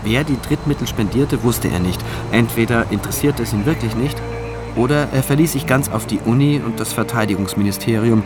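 An actor playing a thoughtful, conscientious male prosecutor in a radio drama, with a measured, introspective manner. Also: mid-range voice, over music, close to an everyday voice. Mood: pensive, sober. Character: pensive, conscientious male public prosecutor narrating his investigation. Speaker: lead actor and first-person narrator. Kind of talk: radio drama narration and dialogue.

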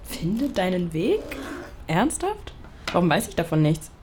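Pragmatic, sceptical young best friend. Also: female voice, on the low side, big pitch swings, a little slow, a little mellow. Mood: incredulous. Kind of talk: naturalistic audio drama dialogue. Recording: clear background ambience noise.

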